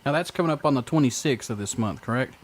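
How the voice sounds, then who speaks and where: A man's voice: mid-range voice, animated, fast, a crowd in the background. Laid-back man in his thirties hosting. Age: 30s. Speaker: radio show host. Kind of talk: casual interview chat.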